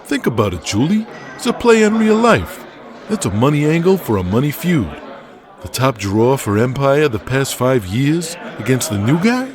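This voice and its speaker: A man's voice: medium pitch, very animated, normal speed, crowd noise, pitched above the performer's own voice, close to an everyday voice. A man playing an eager, intense pro wrestler in an audio drama, earnestly pleading his case. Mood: pleading, heated.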